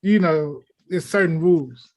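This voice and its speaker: A man's voice: on the high side, a little slow. A man on a talk show panel chatting casually, giving opinions in a colloquial, conversational way.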